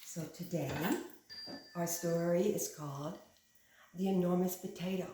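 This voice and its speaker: A woman reading a picture book aloud like a teacher, with simple, instructive phrasing and expressive stress on key words. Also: on the low side, unhurried, slight background noise. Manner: simple, instructive phrasing aimed at young children. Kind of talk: animated children's picture book read-aloud. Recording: echoey room.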